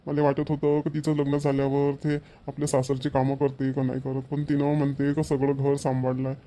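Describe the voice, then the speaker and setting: A man's voice: medium pitch, monotone, brisk, warm tone, natural voice. A man playing a doting, proud middle-aged village father in a cartoon, with a rural Maharashtrian Marathi accent and earnest, homely manner. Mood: proud, relieved. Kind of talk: Marathi cartoon family comedy voice-over.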